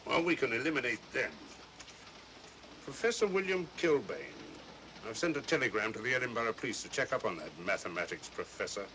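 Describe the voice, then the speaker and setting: An actor playing a sceptical police inspector, blunt and questioning, in 1940s mystery film style. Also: male voice, mid-range voice, lively intonation, a little fast, pushed and bright. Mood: businesslike. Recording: noisy old film soundtrack.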